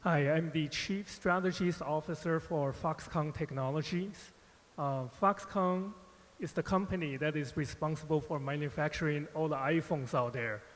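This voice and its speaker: A man answers on a panel, his voice a touch high and his speech halting, padded with filler phrases. The sound carries a long conference-hall reverb. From born Taiwan, raised United States. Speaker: panelist, company strategist.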